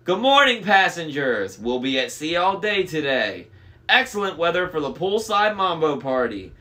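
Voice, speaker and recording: Storybook cruise announcer, read by a man: relentlessly happy, chirpy, loud, pitched up. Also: very animated, normal speed. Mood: cheerful. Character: overly cheerful male ship's intercom announcer.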